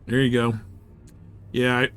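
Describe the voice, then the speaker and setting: A man livestreaming a game, chatting casually in rambling, halting thoughts as he plays. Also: medium pitch, completely flat delivery, a bit bright. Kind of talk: casual chat while playing. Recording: noticeable background noise and game sounds. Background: game ambience and ship computer announcements.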